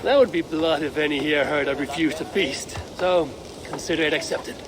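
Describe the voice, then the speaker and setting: A man playing a guarded, shrewd Viking clan leader in a video game, speaking in a measured, wry and formal way. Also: on the high side, background noise, lightly acted. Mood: wry, courteous. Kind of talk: video game dramatic dialogue. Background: background music.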